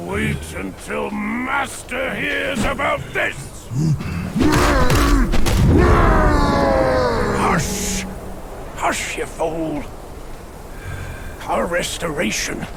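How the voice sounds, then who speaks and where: An actor playing a gruff male demon father who growls commands at his kin in a game cutscene. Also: extremely high pitch, full character voice. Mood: angry. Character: gruff male yaoguai father, bitter and bossy. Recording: loud background noise.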